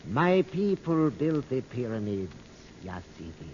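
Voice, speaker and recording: Solemn, mellow-voiced guide. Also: male voice, medium pitch, sing-song, slow pace. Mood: proud, solemn. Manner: formal, grave, declamatory phrasing. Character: proud, solemn Egyptian male guide, loyal servant of a princess. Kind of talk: old-time radio adventure serial acting. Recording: muffled old radio recording, noisy.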